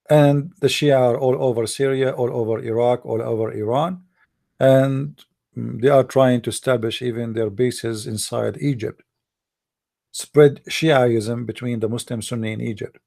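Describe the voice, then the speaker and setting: A man whose first language is Arabic, giving a heated casual monologue, often pausing and searching for words. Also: mid-range voice, fairly even intonation, vocal fry, a little mellow. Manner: halting, searching for words, many fillers; heated, blunt opinions. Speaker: commentator.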